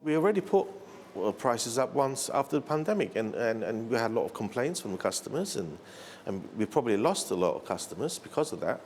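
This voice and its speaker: A man answering interview questions as a restaurant manager, halting and repeating himself. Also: medium pitch, sing-song, a little mellow, slight background noise. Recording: light background ambience.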